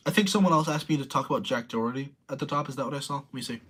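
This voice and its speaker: A man's voice: medium pitch, very fast, a bit bright, slightly rough. A young man in his late teens, casually rambling through commentary and reading viewer comments aloud. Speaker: streamer reading chat.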